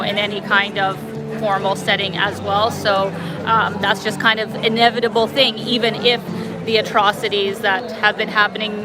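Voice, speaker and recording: A young woman from Canada, chatting casually with viewers on a livestream and rambling with lots of fillers. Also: mid-range voice. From Canada, born in Russia. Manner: rambling, frequent fillers, thinking aloud. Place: outdoors at a city street march. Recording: outdoor livestream over loud music. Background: loud music from the march.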